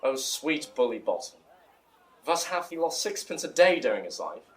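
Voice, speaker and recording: A man's voice: a little high, very animated, normal speed. A man playing a plain, fussy, lamenting craftsman from an amateur acting troupe, speaking earnestly in a Shakespearean audio drama. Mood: dismayed. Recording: faint background ambience noise.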